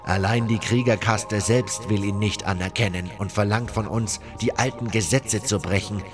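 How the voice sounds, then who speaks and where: A man's voice: fairly low pitch, steady tone, moderate pace, natural voice. A man voicing solemn elders of an ancient city council in formal, grave fantasy game dialogue. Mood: grave, urgent. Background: music.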